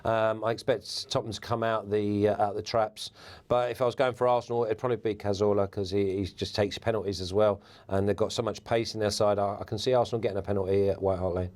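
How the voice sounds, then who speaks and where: A man, a former footballer, giving relaxed football punditry as a guest, laughing easily and restarting words. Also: lowish voice, quick pace. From England.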